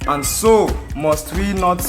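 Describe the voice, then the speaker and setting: A man's voice: high voice, takes it slowly, music in the background. A man with a Sierra Leonean accent playing a scheming village noble, speaking formally and persuasively in an audio drama. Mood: urging, indignant. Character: scheming male village noble leading rival candidates.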